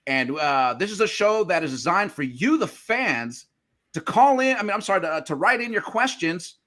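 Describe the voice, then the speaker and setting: Hype podcast co-host guy, bright tense voice, trips over words a bit. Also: sits high, lively intonation, moderate pace. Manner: enthusiastic, hype-style intros, stumbles on words. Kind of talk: casual podcast hosting.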